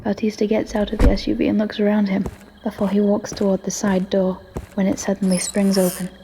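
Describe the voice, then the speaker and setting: A woman's voice: mid-range voice, completely flat delivery, a little fast, mellow, slight background noise, poor audio. A woman reading scripted story narration for an audio drama.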